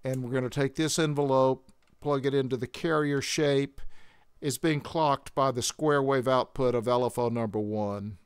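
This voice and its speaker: An older man giving a measured, methodical instructional demonstration as a tutorial presenter. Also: mid-range voice, steady tone, clean tone, dry recording, clean studio sound.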